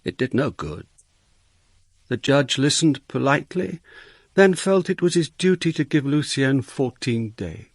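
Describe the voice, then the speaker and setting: An actor playing a blunt, worldly police inspector in a radio drama, brusque, commanding and wry. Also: male voice, mid-range voice, very animated, unhurried, no echo, clean studio sound. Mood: dry, reflective. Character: blunt, worldly Dutch police inspector, narrating his case. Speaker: actor and narrator.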